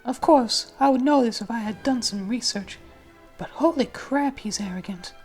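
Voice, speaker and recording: A woman's voice: on the high side, moderate pace, darkish tone, soft background music. A woman reading a novel aloud as dramatic first-person audiobook narration. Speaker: audiobook reader.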